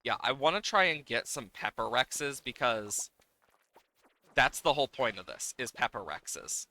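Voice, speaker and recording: Bright, animated-talking man streaming games. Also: mid-range voice, a little slow. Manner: playfully exasperated, swearing, exclaiming and talking to the game. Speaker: livestreamer. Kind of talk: casual gaming livestream commentary.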